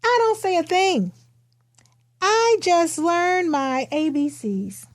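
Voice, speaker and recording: A woman voicing a quiet, matter-of-fact young kindergarten girl who tells her own storybook tale in simple, expressive phrases. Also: very high-pitched, takes it slowly, full character voice. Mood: earnest, matter-of-fact.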